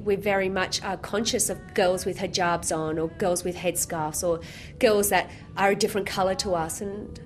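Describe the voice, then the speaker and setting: This is a woman in her thirties with a voice of everyday middle height. She speaks earnestly and reflectively about her work, pausing now and then with soft hesitations. A faint hum sits behind her. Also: medium pitch. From Australia. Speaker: author and theatre director. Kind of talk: radio interview, then reading her children's book aloud.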